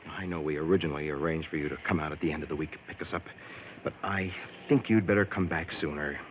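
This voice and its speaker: An actor playing a polite, controlling husband, solicitous and measured, in a vintage radio drama. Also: male voice, a bass voice. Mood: confiding, uneasy. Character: genteel, controlling husband, solicitous toward his wife. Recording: muffled, faintly noisy old radio broadcast.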